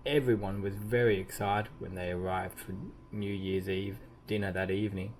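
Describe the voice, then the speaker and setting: Low-pitched man reading a children's storybook, noisy recording. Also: steady tone. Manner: deliberate emphasis, drawn-out key words. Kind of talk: expressive read-aloud storytelling. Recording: clearly audible background noise.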